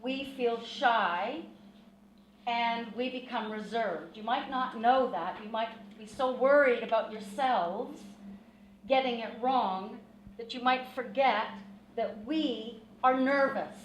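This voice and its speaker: Canadian woman in the audience, loud and earnest, slightly high voice, echoey hall. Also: takes it slowly, slight background noise. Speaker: audience member. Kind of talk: commenting from the audience. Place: event hall. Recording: echoey hall, speaking from the back.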